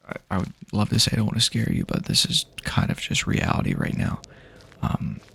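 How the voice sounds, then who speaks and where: Very deep, gravelly man in ASMR roleplay. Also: slight background noise. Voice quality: husky, gravelly, soft-edged voice. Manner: hesitant, halting, casual phrasing. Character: easygoing survivor guiding a friend through post-apocalyptic wasteland. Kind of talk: improvised ASMR roleplay, addressing the listener as a companion.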